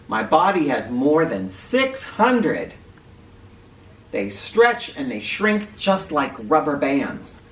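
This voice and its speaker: A man hosting an educational read-aloud for children, speaking directly to them with clear emphasis and questions. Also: medium pitch, a bit bright. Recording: roomy, distant mic with faint noise.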